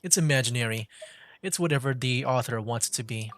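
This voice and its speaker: A man's voice: medium pitch, brisk, fairly bright tone. A man giving casual live gameplay commentary as a streamer, rambling and feeling for his words. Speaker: video game streamer.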